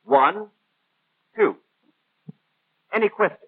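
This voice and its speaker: A precise, patient instructor, lectures in a formal 1940s training-film manner. The voice is high and husky with animated intonation, on a very narrow, tinny old soundtrack. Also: male voice, slow pace, full character voice. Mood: precise, instructive. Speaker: instructor narrator.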